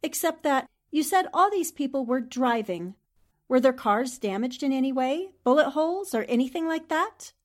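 A woman acting a determined female reporter, 1940s radio drama, high voice, inquisitive, crisp, composed. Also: lively intonation, moderate pace. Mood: probing. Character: brisk, inquisitive, determined newspaperwoman. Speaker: voice actor in an audio drama.

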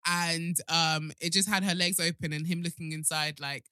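Chatty young woman, bright dry voice. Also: on the low side, steady tone, normal speed, clean tone, no room sound. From Yoruba background. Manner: chatty, emphatic, addresses listeners directly. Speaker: podcast co-host. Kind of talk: casual chat.

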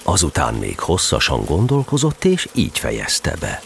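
A man playing a wry, patient narrator telling a children's story in a radio play, with a playfully deliberate delivery. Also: extremely low pitch, huge pitch swings, vocal fry, slight background noise, heavily acted.

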